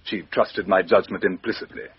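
An actor playing a pompous, careful British politician in a 1950s radio mystery, stiff and self-satisfied. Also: male voice, low voice, steady tone, brisk, breathy edge, dry recording. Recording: muffled 1950s radio drama recording.

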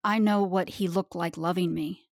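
A woman's voice: on the low side, fairly even intonation, moderate pace, no room sound, studio quality, lightly acted. A woman playing a tough, blunt, regretful woman of the space underworld, confrontational, in a sci-fi audio drama. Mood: hard, regretful.

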